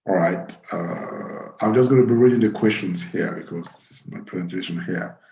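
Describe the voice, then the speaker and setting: Low-voiced man in a roomy space. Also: vocal fry, dark tone. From Cameroon. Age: 30s. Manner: halting, repeats phrases. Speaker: webinar host. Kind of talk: informal live webinar talk. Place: small plain room indoors. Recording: roomy, dull webcam audio.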